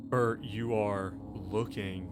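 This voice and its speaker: A man running a tabletop game, improvising the narration haltingly and repeating himself for suspense. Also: fairly low pitch, steady tone, very noisy. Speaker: game master.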